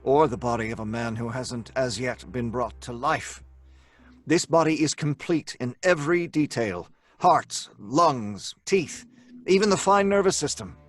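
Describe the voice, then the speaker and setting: An actor playing an obsessed young scientist in old-time radio horror style, earnest, dramatic and deliberate. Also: male voice, medium pitch, no room sound, soft background music, natural voice. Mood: intent, proud.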